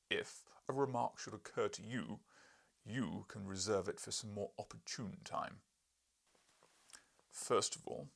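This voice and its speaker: Man playing domineering professor, audiobook, pompous, booming, gravelly, husky, mocking. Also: fairly bright tone, heavily acted. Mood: imperious. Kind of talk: audiobook character voice.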